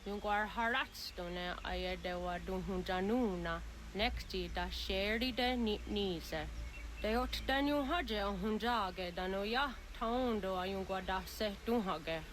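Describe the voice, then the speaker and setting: A woman's voice: medium pitch, measured pace, a little hiss or hum, lightly acted. An actress playing a solemn woman in a historical adventure video game. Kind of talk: dramatic video game voice acting. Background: music.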